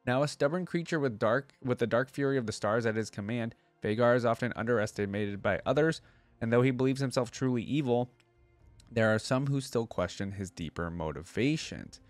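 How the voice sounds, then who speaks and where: A man's voice: mid-range voice, fairly quick, dry recording, faint music behind. A young man hosting a casual reaction video, thinking aloud and reading lore at a close desk microphone. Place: indoor gaming room.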